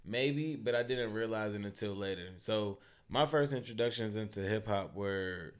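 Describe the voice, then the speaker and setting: A man's voice: fairly low pitch, normal speed. A man co-hosting a podcast, chatting casually in a loose, profane, rambling way with lots of fillers. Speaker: podcast co-host.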